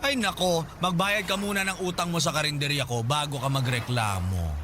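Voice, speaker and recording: An actor playing a sassy, nosy eatery owner in a radio drama, sarcastic and blunt. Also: male voice, on the high side, normal speed, faint music behind. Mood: sarcastic, scolding. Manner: sarcastic, gossipy, expressive.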